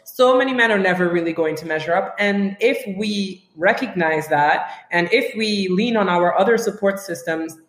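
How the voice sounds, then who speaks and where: A woman's voice: medium pitch, moderate pace, hardly any pauses. A woman from Trinidad and Tobago, raised in the US, talking as a guest in a casual podcast conversation, frank and emphatic. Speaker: guest, writer. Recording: roomy echo.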